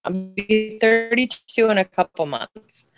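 A woman's voice: medium pitch, measured pace, faint music behind. A woman in her thirties, a podcast guest, answering casually and hesitantly, thinking aloud with fillers. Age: 30s. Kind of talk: casual interview talk.

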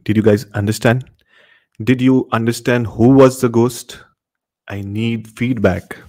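A man's voice: medium pitch, steady tone, a little slow, a little mellow. A man hosting a live show and speaking directly to the audience. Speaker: host of a horror radio show.

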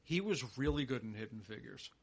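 A man's voice: medium pitch, lively intonation, brisk, gravelly. A man in casual podcast chat about movies, rambling and searching for words. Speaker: podcast co-host.

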